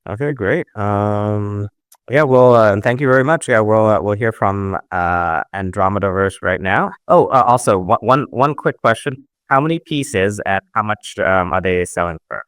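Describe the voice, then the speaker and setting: A man's voice: fairly low pitch, moderate pace, a bit bright, clear, resonant tone, dry recording, clean studio sound. A man hosting a casual live audio chat, asking upbeat questions with lots of fillers.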